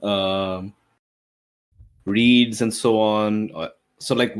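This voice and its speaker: Male tabletop player, low voice, casual and tentative, building ideas as he speaks. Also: fairly even intonation, very slow, clean tone. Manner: tentative, thinking aloud with many hedges. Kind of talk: casual improvised worldbuilding talk.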